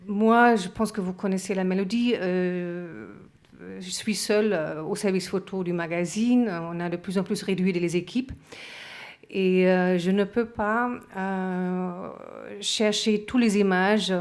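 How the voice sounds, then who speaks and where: A woman's voice: fairly low pitch, a little mellow. A woman from Germany speaking French with a German accent, giving a conference talk with many hesitations. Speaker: association president and magazine photo editor. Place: conference room.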